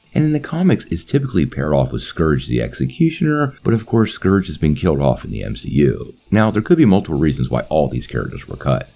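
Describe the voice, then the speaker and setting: Male commentary host: low, creaky voice, animated delivery addressed to online viewers. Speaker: host of a comic book movie channel.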